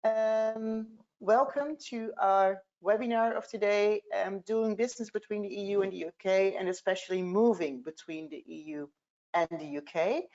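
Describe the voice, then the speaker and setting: A woman with a Dutch accent hosting a business webinar, speaking in a measured way with frequent fillers. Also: mid-range voice, a little mellow, muffled recording. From Netherlands. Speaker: tax partner hosting a webinar.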